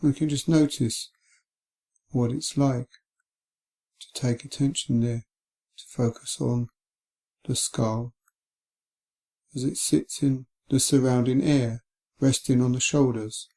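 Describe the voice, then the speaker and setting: A man guiding a meditation in a gentle, calm, unhurried way, leaving long pauses between phrases. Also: mid-range voice. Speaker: meditation guide. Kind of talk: guided body scan meditation.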